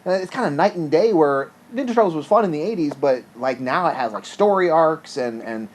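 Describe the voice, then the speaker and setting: A man asking casual interview questions in halting phrases with repeated words. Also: on the high side, expressive, low-quality recording.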